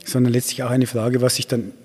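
A man's voice: medium pitch, flat delivery, fairly quick, darkish tone, slightly rough. A man hosting an interview, putting reflective thoughts and questions to his guest. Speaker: interviewer, host. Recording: long hall reverb.

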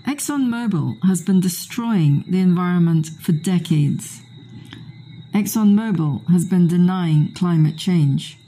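A woman from Guyana, an environmental activist, speaking earnestly and in measured tones in a voice message recorded on a phone. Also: lowish voice, lively intonation, warm tone, rough recording.

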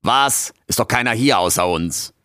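A man's voice: fairly low pitch, animated, moderate pace, sharp tone, dry recording, studio quality. A man voicing a cheeky male raccoon intern who grumbles in street slang. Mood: startled.

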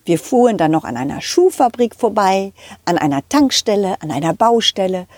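A woman's voice: mid-range voice, sing-song, normal speed, a little mellow, no echo. A woman puppeteer telling a children's story in character, with childlike enthusiasm, speaking straight to the children. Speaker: puppeteer storyteller voicing a dog hero.

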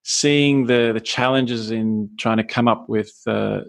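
A middle-aged Australian man with a gravelly voice, a scientist guest on a podcast, talking with many hesitations and restarts. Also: mid-range voice, steady tone, slow pace. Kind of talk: podcast interview.

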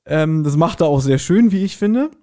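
Man, slightly high-pitched, podcast co-host, casual chat, animated retelling, quotes dialogue in character. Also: normal speed. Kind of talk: casual podcast chat recapping an audio drama.

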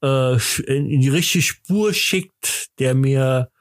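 A man hosting a podcast in a casual, rambling chat, talking haltingly with joking self-importance. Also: mid-range voice, no room sound, clean studio sound. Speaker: podcast host.

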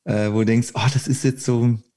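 A man's voice: mid-range voice, flat delivery, fairly quick, dark tone. A middle-aged man with a German regional dialect, talking at length as a podcast guest, full of restarts and laughter. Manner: rambling, many restarts, laughs often. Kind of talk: casual podcast conversation.

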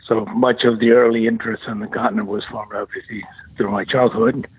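A man in his sixties, originally from the former Yugoslavia, answering radio interview questions in a measured way with frequent fillers and restarts. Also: lowish voice, level tone, husky, no room sound. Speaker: guest, nonprofit chief executive. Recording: tinny dry phone line.

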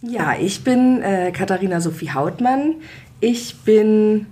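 A woman in her thirties hosting a personal podcast, talking in a relaxed way with hesitant fillers. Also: medium pitch, takes it slowly. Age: 30s. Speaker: podcast host.

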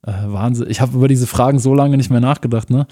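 A man's voice: mid-range voice, steady tone, quick pace, warm tone, dry recording, studio quality. A man in his thirties from Munich, talking casually as an interview guest, rambling and laughing often, with a lot of slang. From Germany (Munich).

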